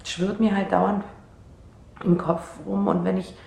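A woman's voice: medium pitch, little pitch movement, measured pace, gravelly, a little mellow. An actress playing an unsettled, insecure young woman in a naturalistic radio play, talking hesitantly and interrupting herself. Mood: unsure, hesitant. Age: young adult. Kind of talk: naturalistic radio drama dialogue. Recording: roomy sound with faint noise.

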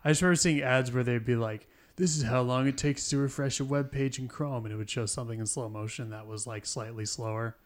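Twenty-something guy rambling on a podcast, average pitch, laughs a lot. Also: steady tone, fast talker, hardly any pauses. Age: 20s. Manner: rambling, chuckles often. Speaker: podcast co-host. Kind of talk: casual chat.

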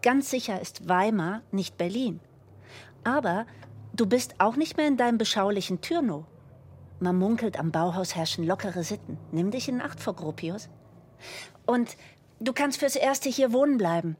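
A woman's voice: mid-range voice, expressive, moderate pace, breathy edge. Assured, ambitious woman photographer. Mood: protective, advising. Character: confident, ambitious woman photographer, protective friend. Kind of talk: German radio drama dialogue.

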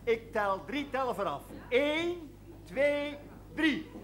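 Very high-voiced, flustered schoolmaster. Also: male voice, sing-song, full character voice. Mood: commanding. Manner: halting, formal, declamatory. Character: formal, flustered schoolmaster marshalling a room of children. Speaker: actor in a television drama. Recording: loud crowd, very noisy old soundtrack.

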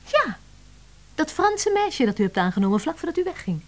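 A woman's voice: sits high, sing-song, moderate pace. An actress playing an earnest, deferential woman secretary in a radio play, polite and dreamily savouring her words. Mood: polite, defensive.

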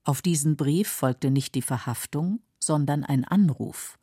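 Low, husky woman narrator. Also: measured pace, warm tone, dry recording, clean studio sound. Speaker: documentary narrator. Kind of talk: scripted biographical narration. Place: dry studio.

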